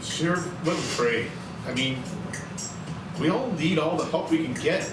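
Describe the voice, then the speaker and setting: A man's voice: a little high, measured pace, very noisy, lightly acted. A man playing a polite lawman, the sheriff's passenger, in a screenplay reading. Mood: nervous. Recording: very echoey, distant, loud music.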